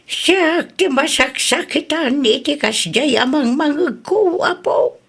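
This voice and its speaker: A woman playing an elderly, protective grandmother in a radio drama, with a drawn-out, emotive delivery. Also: high voice, vocal fry, a bit bright, exaggerated voice. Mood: indignant, hurt. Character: elderly grandmother, protective, grateful, easily indignant. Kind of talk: Ilocano radio drama acting.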